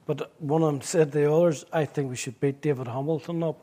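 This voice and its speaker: A middle-aged man from Northern Ireland with a Belfast accent, a pastor recounting his past in an interview with wry jokes and halting restarts. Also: on the high side, steady tone, dark tone. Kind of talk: interview storytelling.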